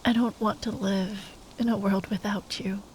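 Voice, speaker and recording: A woman playing a grieving, tender young sorceress at a bedside in an intimate ASMR roleplay, speaking in a hushed, halting way that often slips into whispers. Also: mid-range voice, little pitch movement, slight background noise, natural voice, low-quality recording. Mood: tender resolve.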